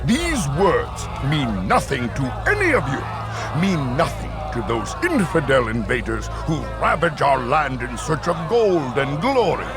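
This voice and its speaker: A man's voice: on the high side, big pitch swings, crowd noise. Bitter, swooping merchant king villain. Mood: impassioned, scornful. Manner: grandiose, deliberate, mock-courteous oratory. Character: bitter, theatrical merchant king, scorned outcast noble villain. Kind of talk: dramatic video game cutscene acting. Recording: loud music behind. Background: loud music.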